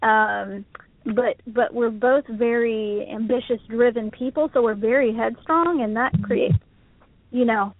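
A woman's voice: fairly high pitch, fairly even intonation, measured pace, no echo. A woman in her forties chatting casually as co-host, rambling and self-deprecating, often laughing at herself. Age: 40s. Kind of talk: casual chat on internet radio. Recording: dry tinny phone line.

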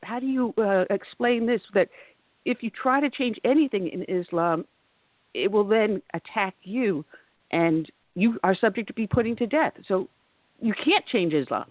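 A woman's voice: mid-range voice, expressive, normal speed, dry recording. A woman hosting a radio talk show, asking probing questions in a conversational way. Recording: tinny phone-like sound.

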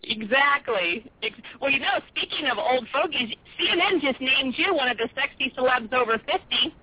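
A woman in her fifties interviewing on the radio, chatty and quick to laugh. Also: high voice, animated, breathy edge, no echo. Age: 50s. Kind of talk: casual interview chat. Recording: dry tinny phone-line sound.

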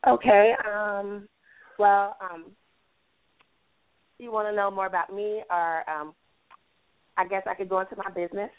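Woman from Texas, hesitant and soft-spoken, slight allergies, dry tinny phone line. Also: medium pitch, fairly even intonation, unhurried, pauses often, dry recording. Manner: hesitant, soft-spoken with fillers and light laughs. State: mild allergies. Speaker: guest, business owner. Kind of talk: phone interview.

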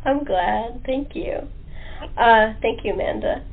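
A woman's voice: on the high side, takes it slowly. A woman hosting a casual interview chat with a cast, often chuckling as she talks. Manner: chuckles often while talking. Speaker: host interviewing a radio play cast. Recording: tinny phone-line sound.

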